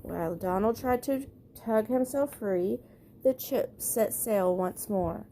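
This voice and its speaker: A woman reads a children's picture book aloud as a bedtime story. Her voice sits at a medium pitch. There is faint noise in the background. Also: slow, mellow. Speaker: storybook reader.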